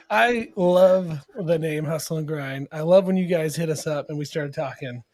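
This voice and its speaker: A man's voice: fairly high pitch, measured pace, a little mellow, no room sound. A man as podcast guest and sponsor, talking casually with enthusiastic, rambling stories. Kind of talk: casual chat.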